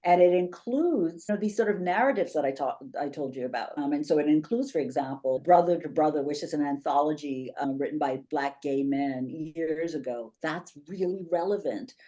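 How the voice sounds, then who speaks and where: Low-voiced woman in her fifties. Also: moderate pace, few pauses. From Bahamas. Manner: articulate, animated, gestures while speaking. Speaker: guest, professor and institute founder. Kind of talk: conversational interview answers. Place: home office, indoors. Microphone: webcam on a video call.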